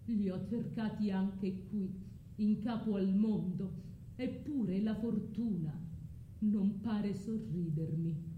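Weary old king without heirs played by an actress, grave and slow, pitched down, radio theatre. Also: reverberant, narrow, tinny audio, a little hiss or hum, lightly acted. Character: Aegeus, ageing childless male king seeking heirs, weary.

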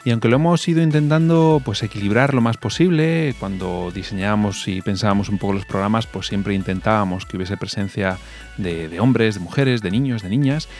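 Brief: male co-host, lowish voice, relaxed reflective delivery, faint music behind. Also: brisk. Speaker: radio show co-host. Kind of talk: scripted radio presenting.

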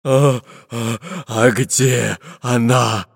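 A man voicing a fat, bossy male pirate captain who talks in a slow, blustering, threatening way. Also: mid-range voice, steady tone, gravelly, no echo, studio quality, long reverb tail, heavily acted. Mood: fearful.

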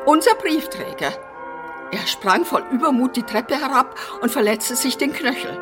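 A woman's voice: high voice, animated, moderate pace, music in the background. An actress playing a prim, bossy and whimsical eccentric woman in a German radio play. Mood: indignant. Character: fussy, eccentric fish-loving woman living in an apartment house.

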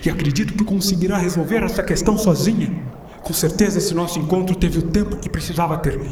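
A distant, echoing voice of an enigmatic time traveler acted by a man, with clear noise around it. He muses in formal, theatrical phrases, as in a radio play. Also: sits high, fast, darkish tone, lightly acted. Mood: cryptic. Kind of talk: scripted radio drama acting. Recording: echoey, distant, noisy ambience behind.

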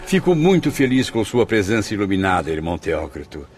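A man's voice: on the low side, sing-song, fairly quick, a little mellow, slight background noise. A man acting a deferential, delighted spirit in a radio drama, reverent and effusive. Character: deferential, delighted male spirit welcoming an honoured brother.

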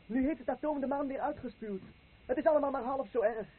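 A man's voice: extremely high pitch, fast. An actor playing a simple, wonderstruck fisherman in a radio play, with a dramatic, wondering delivery. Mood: awed. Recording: phone-like, noisy old radio recording.

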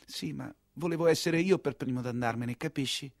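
An actor playing a heartbroken, naive young count who speaks in a pleading, wounded way in a radio monologue play. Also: male voice, medium pitch, fairly quick, creaky, no echo, studio quality, lightly acted. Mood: downcast.

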